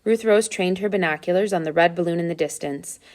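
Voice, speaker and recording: Woman reading a children's story, lowish voice. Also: brisk, a little mellow. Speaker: storybook reader. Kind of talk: read-aloud narration of a children's adventure story.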